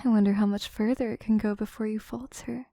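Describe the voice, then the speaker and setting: A woman's voice: mid-range voice, steady tone, warm tone, no room sound, studio quality, natural voice. A woman playing an obsessive, scheming yandere assistant in an audio roleplay, speaking in formal, theatrical phrases to the listener. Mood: menacing, infatuated. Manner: theatrical, formal phrasing, slow and deliberate, dropping into whispers. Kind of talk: scripted audio roleplay, intimate monologue to listener.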